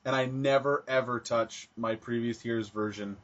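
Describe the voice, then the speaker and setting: Relaxed mid-pitched podcast man. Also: normal speed. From Canada. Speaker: podcast co-host. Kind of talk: casual gaming chat. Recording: dull lo-fi podcast audio.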